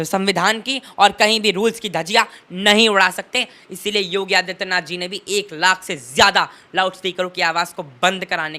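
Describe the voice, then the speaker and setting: A man's voice: high-pitched, sharp tone. A teenage young man giving impassioned political commentary in an interview, emphatic and oratorical, leaning on rhetorical repetition.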